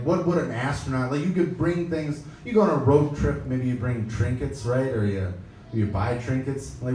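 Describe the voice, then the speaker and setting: A man gives a live reading of an interview in a casual, wry way, at a middling pitch. The sound is strongly echoey and a little distant, with faint noise behind him. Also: darkish tone. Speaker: editor giving a reading. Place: indoor live pop-up event at a venue. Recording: echoey, noisy room, distant mic.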